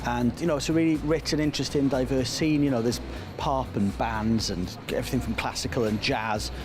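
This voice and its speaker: A man with a Welsh accent talks enthusiastically in a street interview, dropping in plenty of "you know"s. His pitch is ordinary. Clear music plays behind him in the city. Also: fairly even intonation, brisk. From Cardiff, Wales. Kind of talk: casual interview.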